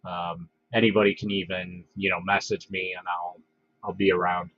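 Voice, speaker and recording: A man hosting a casual livestream, congested from a head cold, talking in a relaxed, halting way with lots of fillers and restarts. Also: low voice. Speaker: livestream host. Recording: dull, dry lo-fi home stream audio.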